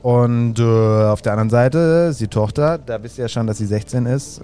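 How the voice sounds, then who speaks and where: A man's voice: mid-range voice, moderate pace, warm tone, soft background music. Laid-back man narrating as game master. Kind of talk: narrating a tabletop role-playing game.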